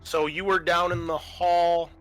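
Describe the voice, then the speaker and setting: A man's voice: sits high, flat delivery, a little slow, a bit bright, faint music behind, poor audio. A man narrating a tabletop roleplaying game as its game master, addressing the players.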